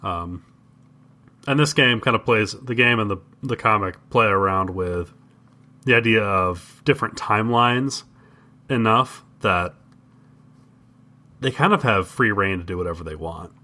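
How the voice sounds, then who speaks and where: Bright-voiced man with a low pitch. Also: unhurried, long pauses. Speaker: podcast co-host. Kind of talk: casual comics discussion.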